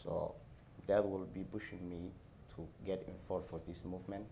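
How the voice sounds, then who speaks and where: Deep Somali-accented man, halting speech. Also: level tone, gravelly, dark tone, a little hiss or hum. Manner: halting, non-native phrasing. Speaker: airport worker on a panel. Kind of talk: panel discussion answers.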